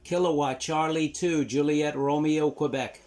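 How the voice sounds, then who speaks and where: Man, amateur radio host, casual commentary, deliberate delivery, higher-than-average pitch, very noisy static background. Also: steady tone. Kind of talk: casual radio commentary and on-air call-signs. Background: loud ham radio noise.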